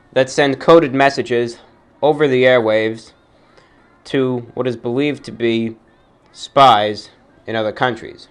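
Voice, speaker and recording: Guy hosting a radio type show, mid pitch voice, informal but announcer-ish and emphatic. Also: clean tone. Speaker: show host.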